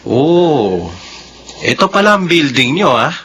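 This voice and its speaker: An easygoing, charming architect who laughs readily. His voice is fairly high for a man, bright, and very expressive. Faint ambient noise sits behind him. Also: takes it slowly, full character voice. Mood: friendly. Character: confident unmarried architect, charming. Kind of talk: radio drama acting.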